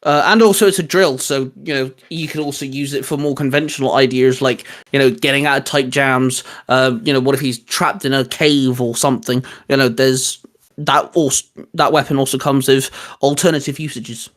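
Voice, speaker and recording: A man's voice: mid-range voice, steady tone, normal speed, fairly bright tone. A man hosting a casual, geeky discussion, explaining his ideas in a rambling way. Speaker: host.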